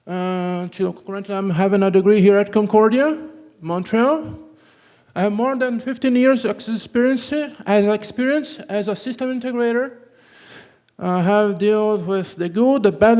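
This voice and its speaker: A man's voice: high-pitched, a little mellow. A man from Brazil, a native Portuguese speaker with a Brazilian accent, giving a technical conference talk, often breaking off and restarting words and tagging lines with "okay". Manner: halting, restarts words, tags sentences with "okay". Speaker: conference presenter. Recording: hall reverb.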